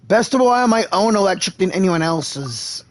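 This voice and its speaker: A man's voice: sits high, animated, moderate pace. A man doing livestream game commentary and voicing along as a character. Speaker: game streamer.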